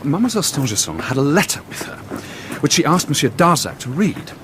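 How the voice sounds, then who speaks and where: An actor playing a very young, brilliant amateur detective in a period radio drama, blunt, insistent and facetious. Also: male voice, a little high, very animated, quick pace. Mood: wry, intent. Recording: noisy ambient sound behind dialogue.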